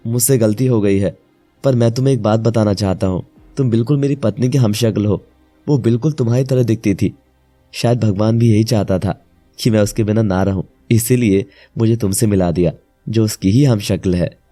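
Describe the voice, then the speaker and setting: A man voicing a devoted, emotional young widowed father in a melodramatic animated moral story, speaking earnestly. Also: lowish voice, normal speed, faint music behind, voice pushed down, close to an everyday voice. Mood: earnest, tender.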